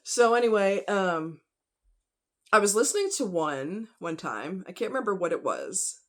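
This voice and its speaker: A woman's voice: mid-range voice, big pitch swings, moderate pace, a bit bright. Casual woman vlogger, chatty and slangy. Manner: conversational, rhetorical questions, informal slang. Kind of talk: casual rambling opinion vlog.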